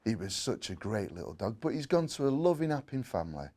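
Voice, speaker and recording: Family dad for a children's tale, male reader: kindly, practical, down-to-earth, medium pitch. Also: big pitch swings, a little fast, a little mellow. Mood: reassuring.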